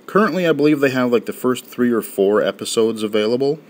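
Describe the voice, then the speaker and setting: A man casually talking through an audio game on his phone, relaxed and chatty with plenty of fillers. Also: mid-range voice, quick pace. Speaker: game reviewer. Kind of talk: casual game walkthrough commentary.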